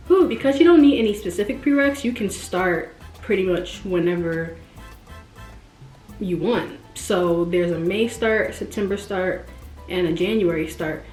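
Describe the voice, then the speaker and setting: A woman in her twenties chatting casually and expressively to her viewers, jumping up in pitch when she stresses a point. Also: a little mellow. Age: 20s. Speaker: vlogger. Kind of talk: casual direct-to-camera chat. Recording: roomy echo with faint music.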